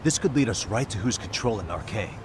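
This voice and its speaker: A man's voice: fairly low pitch, expressive, a little fast, background noise, lightly acted. An actor playing a cool, level-headed investigator in his thirties, speaking matter-of-factly in an English game dub. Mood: businesslike. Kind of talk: English dub video game drama acting.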